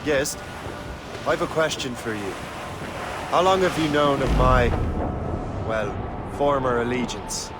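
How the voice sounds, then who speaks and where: An actor playing an earnest, dry-witted young sea captain in a video game, speaking directly. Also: male voice, mid-range voice, slow, pauses often, natural voice. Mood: curious. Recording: loud boat and sea noise.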